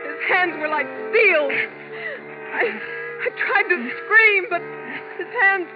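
A woman's voice: very high-pitched, takes it slowly, music in the background. Shaken young showgirl, muffled old radio. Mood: frightened. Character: frightened young Las Vegas showgirl wife, shaken, anxious. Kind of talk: 1950s radio drama acting.

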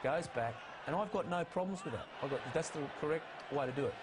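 A man analyzing rugby match footage in a studio, emphatic and pushing his pitch up to stress each call. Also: animated, quick pace, noisy background. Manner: emphatic, rises in pitch to stress key calls.

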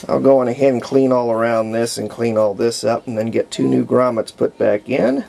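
An American man in his fifties narrates hands-on repair work unscripted, thinking aloud in a halting way as he goes. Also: medium pitch, mellow. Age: 50s. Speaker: hobbyist radio restorer. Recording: clear background noise.

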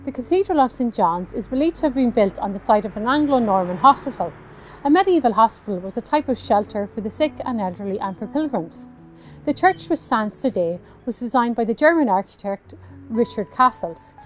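An Irish woman in her thirties, a tour guide, narrating a scripted walking tour outdoors on a town street in a clear, measured way, recorded on a lapel mic. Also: a little high, expressive, soft background music, rough recording. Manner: clear, measured storytelling delivery.

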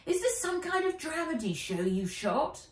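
A woman voicing a bossy, lively schoolgirl in a children's story, brisk, teasing and emphatic. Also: sits high, voice pushed up. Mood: incredulous. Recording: roomy, distant home camera sound.

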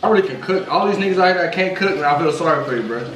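A man's voice: a little high, lively intonation, racing, a bit bright, soft background music. A young man in a home kitchen, hyping up his cooking to viewers with jokes and sound effects. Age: young adult. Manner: hyped, joking, talks to viewers with sound effects. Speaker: cooking partner. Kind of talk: playful cooking vlog chat. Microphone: camera mic across the kitchen. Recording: echoey kitchen with background noise.

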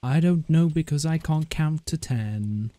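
A man talks casually over game voice chat. His voice is soft-edged and mellow, and he jokes with a straight, deadpan delivery. There is faint noise behind him. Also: fairly high pitch, a little slow. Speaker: gamer and video creator trolling teammates.

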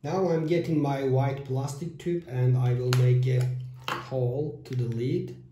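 A man in his thirties hosting a step-by-step electronics build tutorial, with halting phrasing and fillers, filmed at a table in a small room. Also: medium pitch, fairly even intonation, noisy background. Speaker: DIY electronics channel host. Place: small room with whiteboard, indoors. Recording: echoey room.

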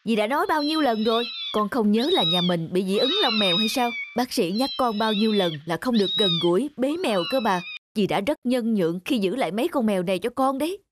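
A woman voicing a middle-aged stepmother in an animated moral tale, firm and caring as she talks to a child. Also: on the high side, fast talker, hardly any pauses, background noise, close to an everyday voice. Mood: stern, scolding. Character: strict but loving stepmother of a young girl. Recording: loud music under the dialogue.

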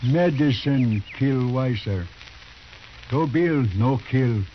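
Actor as a slow-spoken proud, stoic man. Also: mid-range voice, natural voice. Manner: broken, clipped English in short phrases. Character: proud, stoic Native man accused of murder. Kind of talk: 1950s radio western acting. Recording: dull, noisy 1950s radio recording.

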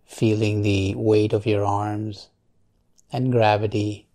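Low-pitched man guiding meditation, very unhurried. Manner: calm, measured, long pauses between short phrases. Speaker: meditation guide. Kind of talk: guided meditation.

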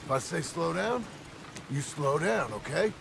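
A gravelly American frontier father, stern and weary. He talks curtly, a little high for a man, over clear outdoor wagon noise. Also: lively intonation, unhurried, exaggerated voice. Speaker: game character, father. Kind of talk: naturalistic video game acting.

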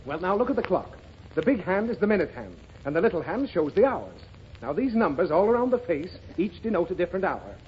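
A man's voice: sits high, very animated, brisk. A man playing an exasperated, easily flustered father in an old radio comedy, explaining things fussily. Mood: strained patience. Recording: muffled, very noisy old radio recording.

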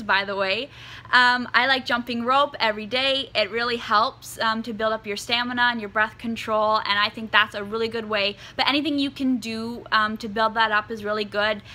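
Bright-voiced young woman, slightly croaky. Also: on the high side. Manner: earnest, chatty, many "um"s. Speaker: singer and vlog host. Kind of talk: casual advice talk to camera. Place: indoors in front of a dark curtain.

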